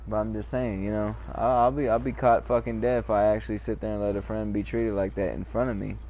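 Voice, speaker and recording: A man chatting casually while playing an online game, rambling in a calm, lecturing way, repeating words and swearing freely. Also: lowish voice, fast talker, highs cut off, slight background noise. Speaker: gamer chatting in party.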